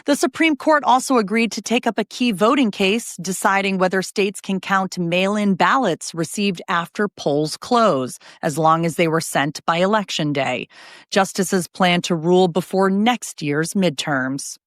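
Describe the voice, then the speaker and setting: A woman in her thirties hosting a news podcast, reading scripted headlines in a bright, conversational way with light asides. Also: mid-range voice, unhurried, dry recording, studio quality.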